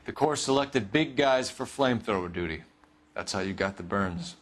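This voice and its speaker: Earnest, firm American police detective. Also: male voice, on the low side, expressive, moderate pace, fairly bright tone, slight background noise. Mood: measured. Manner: firm, clipped, formal questioning. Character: earnest, by-the-book 1940s police detective, adult man. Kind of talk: video game cutscene acting, police interrogation.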